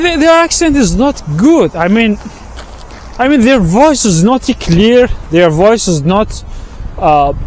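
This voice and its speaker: Slow, halting man with an Arabic accent. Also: sits high, darkish tone, slight background noise, rough recording. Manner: halting, many restarts and repeated words. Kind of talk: walking-and-talking vlog monologue. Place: outdoors on a street with passing traffic.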